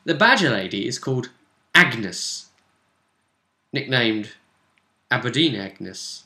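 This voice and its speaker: A man's voice: mid-range voice, sing-song, slow pace, bright, forceful tone. A man chatting casually and narrating as game master of a tabletop role-playing game. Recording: roomy, echoing room sound.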